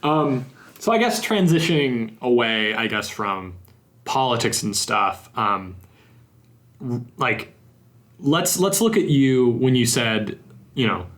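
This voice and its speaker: A young man in his twenties hosting a podcast interview, relaxed and hesitant, often repeating words. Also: mid-range voice, slow pace. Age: 20s. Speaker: podcast host. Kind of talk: casual interview.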